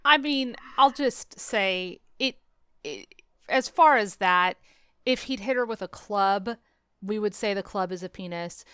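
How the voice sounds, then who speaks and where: A woman hosting a podcast, talking casually about books and films in an animated, emphatic, argumentative way. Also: medium pitch, a little slow, clean tone, no room sound, studio quality. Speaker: podcast host. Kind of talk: casual book and film discussion.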